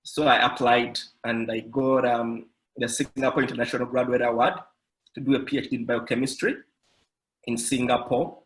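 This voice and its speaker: Measured man from Uganda on a call. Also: medium pitch, little pitch movement, rough recording. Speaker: scientist and startup founder, panelist. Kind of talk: self-introduction on an online panel.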